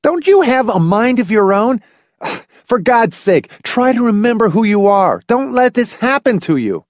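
A man's voice: fairly high pitch, very animated, moderate pace, vocal fry, darkish tone, no room sound, clean studio sound, pitched above the performer's own voice, full character voice. A man voicing an exasperated, cynical male research statistician in an audiobook, with an emphatic delivery. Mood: exasperated roaring. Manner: emphatic, swinging from outburst to weary mutter.

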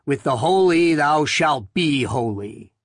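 A man voicing a solemn priest in an audiobook, reading scripture in a commanding, measured, declamatory way. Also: a little high, expressive, dry recording, studio quality. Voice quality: commanding, clear. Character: priest solemnly reading a psalm aloud at graveside. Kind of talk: audiobook character voice.

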